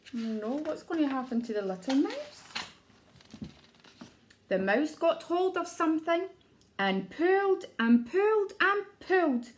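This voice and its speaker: A woman with a high-pitched voice reads a picture book to young children. Her delivery is expressive storytelling, in the manner of an early years teacher who draws listeners in with questions. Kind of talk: children's storybook read-aloud.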